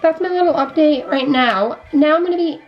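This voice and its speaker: A woman's voice: high-pitched, brisk, muffled recording, faint music behind. A young adult woman with a slightly stuffy, sick-sounding voice and a sore throat, giving a casual, rambling life update as a vlogger. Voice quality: slightly congested nasal voice. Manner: rambling, repeats and restarts thoughts. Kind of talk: casual chatty life update while doing makeup.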